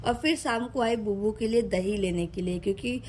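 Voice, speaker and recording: A young woman narrates a casual vlog voice-over in a chatty, informal way, mixing in English words, her throat slightly hoarse and tired. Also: mid-range voice, normal speed, darkish tone, slight background noise. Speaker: vlogger.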